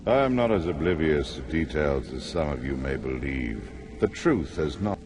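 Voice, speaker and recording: An actor playing a righteous, stern god in a video game cutscene, speaking in an imperious, formal way. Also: male voice, very deep voice, sing-song, normal speed, in a large hall, music in the background. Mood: stern, haughty. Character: righteous, haughty male god, protective and stern.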